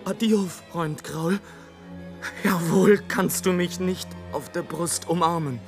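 An actor playing a brooding, defiant balloonist facing death, in a theatrical literary radio play monologue. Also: male voice, high-pitched, slow pace, music in the background. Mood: agitated, defiant. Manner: theatrical, swinging from hushed brooding to exclamation.

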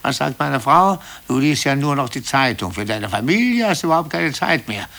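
An actor in a radio play voicing an embittered, brooding middle-aged office clerk who speaks haltingly and repeats himself. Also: male voice, mid-range voice, big pitch swings, gravelly, bright, forceful tone, heavily acted. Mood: bitter, resigned. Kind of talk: 1950s German radio play acting.

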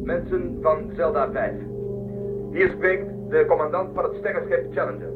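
An actor playing a formal, matter-of-fact, commanding starship captain who threatens coolly in a science-fiction radio play. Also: male voice, fairly high pitch, steady tone, normal speed, narrow, tinny audio, close to an everyday voice. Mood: threatening. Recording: distant, band-limited transmission with music behind.

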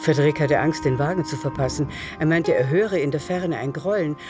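A woman's voice: sits low, brisk. Woman narrating a literary radio drama. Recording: music behind.

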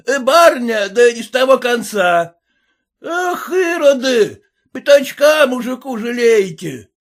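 Guy putting on a drunk rude peasant, hollering, high and raspy. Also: sing-song, a little slow, exaggerated voice. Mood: drunken abuse. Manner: shouting, jeering, drunken. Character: drunk red-faced peasant man, rude and abusive.

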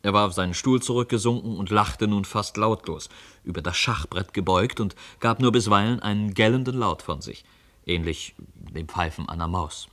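A man narrating a literary radio play as an expressive, measured storyteller. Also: lowish voice.

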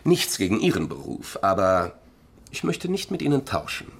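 An actor playing a pompous, wordy museum scholar in a German radio drama, speaking in circumlocutions with self-importance. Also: male voice, fairly low pitch, very animated, moderate pace, husky, full character voice. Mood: self-satisfied.

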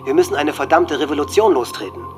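A male actor plays a teenage school shooter in a radio drama. The young man boasts with cold menace, delivering deliberate threats in a slightly raised voice. Faint music sits underneath. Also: fairly high pitch, expressive, darkish tone, close to an everyday voice. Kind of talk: documentary radio drama acting.

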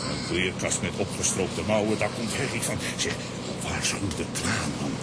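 An actor playing a shifty, bossy demolition boss, the villain of a children's radio play. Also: male voice, low voice, normal speed, very noisy, close to an everyday voice. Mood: sly.

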